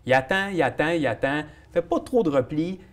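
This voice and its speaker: A man's voice: fairly high pitch, lively intonation, brisk, a bit bright. A man with a Québécois French accent co-hosting a casual hockey talk segment, blunt and jokey with strong opinions. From Quebec. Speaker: sports talk co-host.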